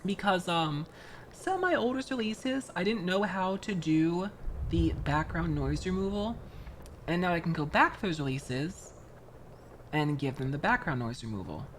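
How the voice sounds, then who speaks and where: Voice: medium pitch, lively intonation, normal speed, a little hiss or hum. A streamer chatting casually with viewers while building a model kit, rambling from one thought to the next and greeting people by name. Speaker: VTuber streamer.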